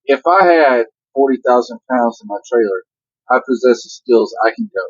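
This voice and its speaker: An older male trucker talks in a husky voice that rises and falls a lot. He gives safety advice in a matter-of-fact way. The sound is dull and dry, with no room echo. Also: medium pitch, animated, moderate pace. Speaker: truck driver. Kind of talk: casual vlog chat.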